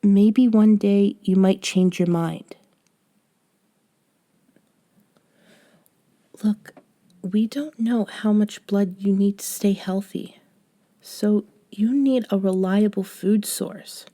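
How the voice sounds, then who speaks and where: A woman performs a young woman coaching her friend in an intimate audio roleplay. Her voice is mellow and soft, and she takes her time, measured and patient with a bossy, gently chiding edge. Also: mid-range voice, fairly even intonation. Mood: earnest, coaxing. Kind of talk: scripted roleplay audio, one-sided conversation.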